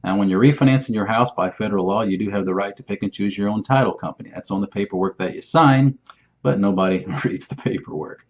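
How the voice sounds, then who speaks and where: A man's voice: fairly low pitch, quick pace, a little mellow. A man giving an informal explainer as a lender, plain-spoken and emphatic, often posing questions and answering them himself. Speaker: mortgage lender giving advice. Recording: dull, muffled lo-fi sound.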